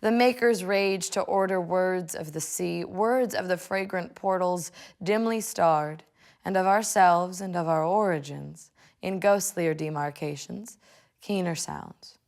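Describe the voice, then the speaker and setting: Creaky young American woman, lower-pitched. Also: a little slow, a little mellow. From United States, Illinois. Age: 20s. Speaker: law student reading a poem. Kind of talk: personal reflection and poetry reading. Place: indoors, furnished living room.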